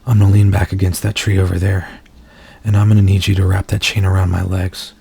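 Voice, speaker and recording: A man acting a gravelly, anguished werewolf in human form. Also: low-pitched, little pitch movement, mellow, full character voice. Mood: urgent, pleading. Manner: intimate, confessional, halting delivery. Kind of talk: ASMR roleplay acting.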